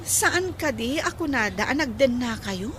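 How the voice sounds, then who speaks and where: A friendly, inquisitive young nurse with an Ilocano Filipino accent, played by a woman. She has a very high voice and a teasing, chatty way of delivering radio-drama dialogue. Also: expressive, measured pace, a bit bright, slight background noise. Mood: curious. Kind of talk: radio serial drama acting.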